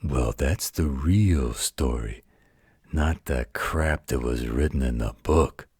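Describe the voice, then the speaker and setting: A man voicing a gruff, protective werewolf with a deep, gravelly, husky voice, telling a bedtime story in an intimate, folksy, gently wry way. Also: slow, no echo, studio quality, exaggerated voice. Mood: folksy storytelling.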